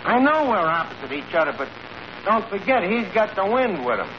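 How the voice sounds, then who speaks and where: An actor playing a brash, scheming tavern manager in a 1940s radio comedy, with a New York accent and a bluffing, word-mangling way of talking. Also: male voice, high-pitched, big pitch swings, brisk. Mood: bluffing, flustered. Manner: bluffing, mangles grammar and fancy words. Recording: noisy muffled old radio broadcast, audience behind.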